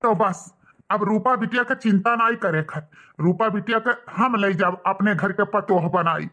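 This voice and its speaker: A man's voice: sits high, moderate pace, pushed and bright. A man voicing a loyal, earnest village man in a cartoon, with an Awadhi accent and an emphatic, declaiming delivery. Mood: earnest resolve.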